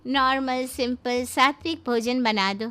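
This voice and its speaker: A woman voicing a sharp-tongued, self-important elderly mother-in-law in an animated moral story, theatrical, scolding and self-pitying. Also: sits high, measured pace, clean tone. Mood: scolding, haughty.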